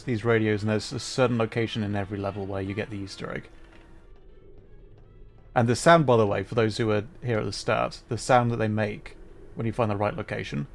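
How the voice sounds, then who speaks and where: A man casually commentating live gameplay for his chat, thinking aloud and interrupting himself as he goes. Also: lowish voice. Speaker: livestreamer, host.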